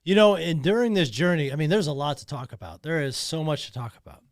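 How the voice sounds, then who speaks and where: A man's voice: fairly high pitch, animated, fast, no echo. A man talking alone in a podcast studio, emotional and emphatic, often repeating himself. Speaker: podcast host. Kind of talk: personal monologue.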